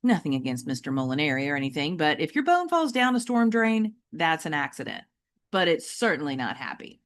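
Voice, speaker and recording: A woman reading a children's novel aloud like an audiobook, with deliberate, emphatic and varied phrasing. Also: deep voice, big pitch swings. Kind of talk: expressive audiobook-style storytelling for children.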